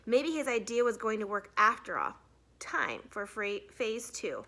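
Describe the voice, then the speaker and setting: A woman's voice: on the high side, big pitch swings, a little slow, sharp tone. A woman narrating a children's storybook as a teacher reading aloud to a class.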